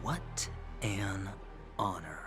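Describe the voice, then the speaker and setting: A weary, bitter male gambler, drawing out his sardonic, mock-polite words. Clear music plays behind this slow game dialogue. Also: low-pitched, lively intonation, exaggerated voice. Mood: bitterly sarcastic. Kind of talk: video game voice acting.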